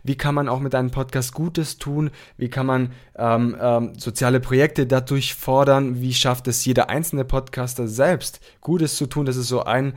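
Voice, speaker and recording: A man's voice: mid-range voice, fairly even intonation, normal speed, few pauses. A young man from southern Italy, the show's host, giving long, rambling answers with lots of fillers. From Apulia, Italy. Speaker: podcast host. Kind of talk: casual conversation.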